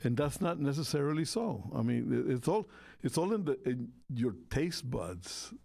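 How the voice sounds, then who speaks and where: A man with a who talks in halting bursts and keeps restarting his sentences. His voice sits at a middle pitch. The sound is dry and close, with no room echo. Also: big pitch swings, creaky, mellow. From Costa Rica. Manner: halting, searching for words, many restarts. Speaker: talk show co-host. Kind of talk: casual radio talk about food.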